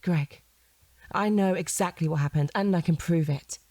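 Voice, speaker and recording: Woman acting a shrewd lady detective grilling a suspect, mellow lowish voice, very dry close sound, audio drama. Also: moderate pace, dry recording, close to an everyday voice. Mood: firm, pressing. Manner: probing, controlled, insistent. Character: shrewd, composed female police detective interrogating a suspect.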